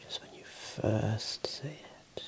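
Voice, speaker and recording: Slow whispering ASMR man. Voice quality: soft, breathy whisper. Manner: calm, gentle, softly encouraging. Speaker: ASMR host playing an eye examiner. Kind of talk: ASMR roleplay, gentle instructions. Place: small room indoors.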